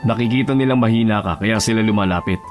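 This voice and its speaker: Male actor as blunt young office worker, audio drama. Cool, terse, low-key scolding over soft music. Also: quick pace, natural voice. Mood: curt, admonishing.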